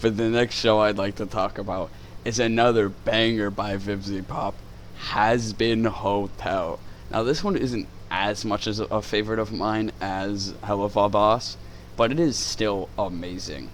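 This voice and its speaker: A man's voice: on the low side, unhurried, a little hiss or hum. A man hosting a casual, unscripted video review in an informal, enthusiastic, rambling way. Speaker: YouTube reviewer, host.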